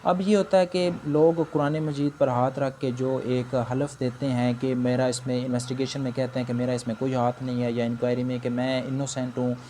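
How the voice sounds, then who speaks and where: A man with a Pakistani accent lectures on law in a soft, dark-toned voice of medium pitch. He works through points one at a time, reading formal English phrases and explaining them. There is slight noise behind him. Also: little pitch movement. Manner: switches between Urdu explanation and English legal phrases read slowly.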